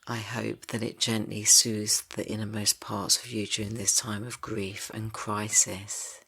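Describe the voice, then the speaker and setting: A man guiding a yoga nidra rest for grief, speaking slowly and softly, drifting into whispers. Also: on the low side, flat delivery, creaky. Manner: slow, soft and soothing, drifting into whispers. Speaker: meditation guide. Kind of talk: guided yoga nidra meditation.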